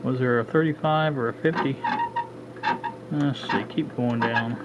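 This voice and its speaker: A man of middle pitch talks his way through hands-on work at a home bench in a relaxed, unscripted way. He stops and restarts often, filling the gaps with "uh" while he figures things out. The recording sounds dull and lo-fi, with a clear layer of steady noise behind him. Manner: halting, thinks aloud with frequent uh. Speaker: hobbyist radio restorer. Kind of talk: casual hands-on demo narration.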